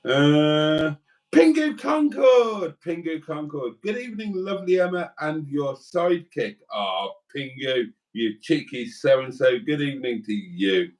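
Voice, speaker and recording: A man's voice: fairly high pitch, big pitch swings, measured pace, a little mellow, recorded from a distance. Welsh-born guy reading out stream comments, rambly, sits back from the mic. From Wales. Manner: chatty and rambling, with asides to viewers. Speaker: co-host of a live stream. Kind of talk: casual live-stream chat, reading viewer comments.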